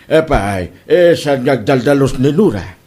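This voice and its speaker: A man's voice: mid-range voice, animated, unhurried, darkish tone, slightly rough. A man playing a teasing, easily amused husband with an Ilocano accent in a comedy audio drama. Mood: puzzled.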